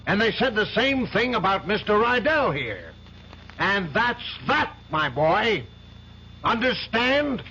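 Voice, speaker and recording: A man's voice: sits high, sing-song, takes it slowly, bright, forceful tone, exaggerated voice. An actor playing a stern, commanding older man who runs a law firm, in a 1970s radio drama. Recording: dull lo-fi old radio broadcast with faint hiss.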